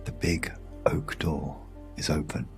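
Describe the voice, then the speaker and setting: A British man narrating a guided sleep meditation story in a hushed, soothing, measured way with long pauses. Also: very deep voice, level tone, vocal fry. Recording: music behind the voice. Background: music.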